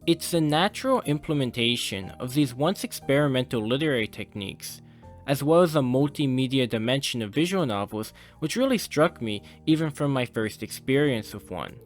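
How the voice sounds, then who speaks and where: A man reads a scripted video essay in a measured, formal, articulate way. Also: mid-range voice. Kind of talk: scripted narration. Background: music under the voice.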